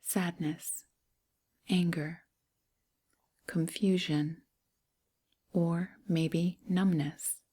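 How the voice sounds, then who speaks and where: A woman with a breathy, hushed, near-whispering voice gently guides a meditation in short, unhurried, soothing phrases. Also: fairly low pitch, fairly even intonation.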